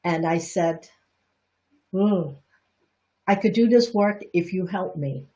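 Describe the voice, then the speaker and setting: A middle-aged woman from Boston with a Chinese Bostonian accent, talking through a reflexology lesson on a livestream. Her voice sits a little low, and her delivery is chatty and candid, with frequent restarts and self-deprecating asides. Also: slow pace, warm tone. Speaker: reflexology teacher. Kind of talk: livestream teaching talk.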